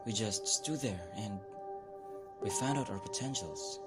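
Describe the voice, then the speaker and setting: Male student, man actor: earnest, uneasy, lower register, radio drama over clear music. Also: a little slow, close to an everyday voice. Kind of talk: amateur radio drama acting. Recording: music behind the voice.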